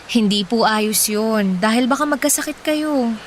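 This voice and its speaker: A woman's voice: a little high, a little slow, close to an everyday voice. A woman playing a devoted young daughter in a Filipino radio drama, earnest and respectful, with a Filipino accent. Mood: tender, worried. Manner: earnest, respectful, fussing over her father. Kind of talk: radio drama acting in Tagalog. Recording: noisy ambience behind the voice.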